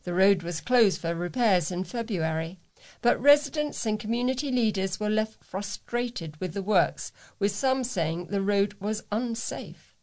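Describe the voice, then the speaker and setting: A woman reads letters and local news aloud as a volunteer, phrasing things in a measured, deliberate way. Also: medium pitch, a little mellow. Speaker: volunteer reader for a local talking newspaper.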